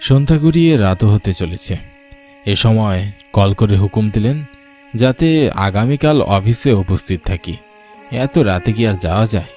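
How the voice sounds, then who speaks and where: A man's voice: on the low side, animated, unhurried, long pauses, darkish tone, faint music behind. A man voicing a put-upon but dutiful office worker and grandson in a story cartoon. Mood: weary grumbling. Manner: grumbling in monologue, affectionate to elder. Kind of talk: Bengali cartoon voice acting.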